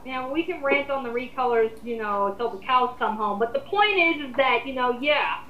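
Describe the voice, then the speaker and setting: High-pitched, exasperated woman, echoey recording. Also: expressive, normal speed, slight background noise. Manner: exasperated, emphatic, profane. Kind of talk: casual opinionated group rant. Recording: muffled, echoey amateur voice recording.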